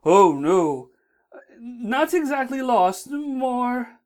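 Aziraphale is a fussy, well-meaning male angel, put on by a woman reading aloud. He frets and stammers, and his voice lifts high with worry and swings widely. It is a storybook voice, prim and nervous. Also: sing-song, very slow, darkish tone, exaggerated voice. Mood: flustered. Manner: flustered, stammering. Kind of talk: audiobook reading.

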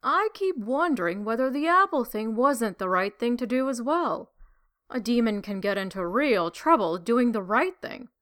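Crowley is a sly male demon, voiced by a woman reading aloud, and he mocks and needles with bright, swooping questions. His voice leaps high and low and has an odd, altered timbre. He sounds teasing and sharp in this storybook reading. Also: lively intonation, moderate pace. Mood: musing. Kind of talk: audiobook reading.

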